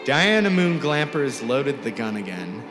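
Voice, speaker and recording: A man narrates a story with deliberate, theatrical phrasing. His voice sits at a medium pitch and he stretches certain words for drama. A loud musical score runs beneath him. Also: sing-song, music in the background. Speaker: teacher reading aloud.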